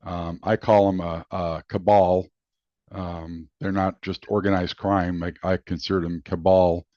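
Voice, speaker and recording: A low male voice talks off the cuff, explaining things in a halting, rambling fashion. The sound is dry and close, with a dull, lo-fi finish. It has the feel of a hobbyist's homemade video commentary. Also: little pitch movement, warm tone, no echo. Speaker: video host and amateur radio hobbyist. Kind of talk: casual unscripted explanatory commentary.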